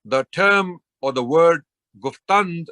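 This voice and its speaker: A man's voice: fairly high pitch, animated, slow, pushed and bright, no echo. A man giving an earnest spoken tribute to a scholar he admired, repeating phrases for emphasis. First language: not Persian. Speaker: Islamic preacher giving a tribute.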